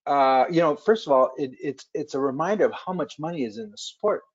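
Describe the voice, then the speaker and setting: A man hosting a webcast interview in casual chat, halting with many fillers and restarts. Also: fairly high pitch, lively intonation, husky. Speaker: webcast host. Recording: dull lo-fi webcast audio.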